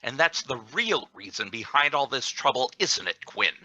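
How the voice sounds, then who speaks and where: A man's voice: mid-range voice, sing-song, moderate pace, sharp tone, no echo, full character voice. A man playing a scheming, accusatory security chief in a scripted radio play, pointed and theatrical. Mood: insinuating.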